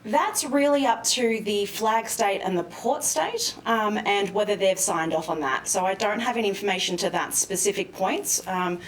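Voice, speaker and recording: A woman with a mid-pitched voice, heard in a roomy space a little away from the mic. She explains things in a measured, careful way, as an expert guest on live news, with faint noise behind her. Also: expressive, distant mic. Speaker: expert guest, maritime lawyer.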